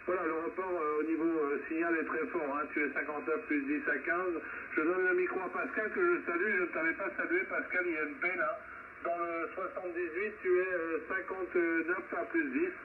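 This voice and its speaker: A man's voice: a little high, steady tone, brisk. A man talking as an amateur radio operator over a two-way transmission, explaining and advising. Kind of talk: ham radio round-table chat. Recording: noisy, distant, tinny radio link.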